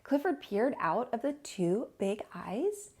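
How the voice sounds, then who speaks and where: A woman hosting storytime, reading aloud to young children with an expressive, teacherly delivery. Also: a little high, slow pace, slightly rough.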